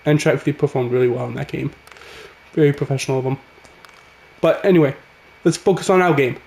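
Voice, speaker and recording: A man, raspy from a cold, giving casual play-by-play commentary over a hockey video game. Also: medium pitch, brisk, echoey room. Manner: excitable, calls the action as it happens. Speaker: gaming channel host.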